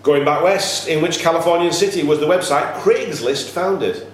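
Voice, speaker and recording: High-voiced man hosting a quiz, echoey room. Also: normal speed. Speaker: quiz master reading questions. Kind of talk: live quiz hosting, reading questions aloud. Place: echoey indoor room at a cricket club. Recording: echoey room, faint background noise.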